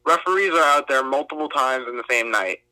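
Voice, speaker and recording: Bright, crisp-voiced young man, chatty. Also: medium pitch, expressive, fairly quick, no room sound, low-quality recording. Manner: chatty, many fillers like "like". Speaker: wrestling referee, guest. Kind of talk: casual interview answers.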